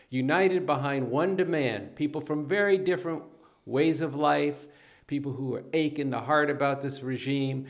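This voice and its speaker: A man hosting a political talk show, speaking earnestly and emphatically. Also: medium pitch. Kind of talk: political commentary.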